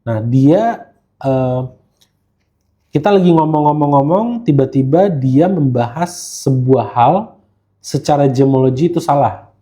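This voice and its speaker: A man's voice: medium pitch, a little slow, long pauses, dark tone. An Indonesian man in casual podcast conversation, talking slangily and slipping English phrases into his speech. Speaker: guest gemologist who hosts live streams.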